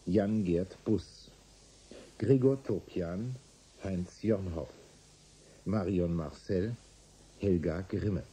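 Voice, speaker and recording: A man with a husky voice reading credits formally as a radio announcer. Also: low-pitched, warm tone, low-quality recording.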